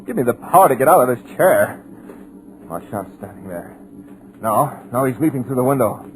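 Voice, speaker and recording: A man's voice: mid-range voice, expressive, normal speed, background noise, lightly acted. An actor playing a terrified, paralyzed male writer narrating in a fearful voice, in 1940s radio acting. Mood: frantic. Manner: frantic then hushed, fearful narration. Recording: old radio recording with music.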